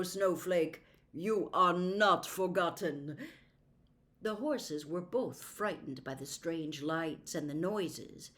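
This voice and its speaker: A woman reading a classic storybook aloud as scripted narration, in a measured, careful storytelling manner. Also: lowish voice. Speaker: audiobook reader.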